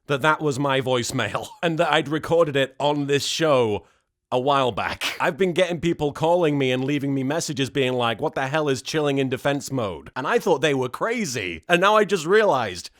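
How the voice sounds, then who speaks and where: A man's voice: mid-range voice, lively intonation, normal speed, a bit bright. A British man hosting a comedic recap, dryly sarcastic and given to exclaiming. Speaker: host. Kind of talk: comedic reaction recap commentary.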